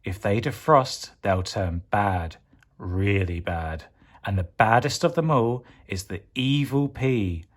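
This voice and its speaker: A man narrating a children's storybook as a storyteller, in a dramatic, theatrical and expressive style. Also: fairly low pitch, takes it slowly.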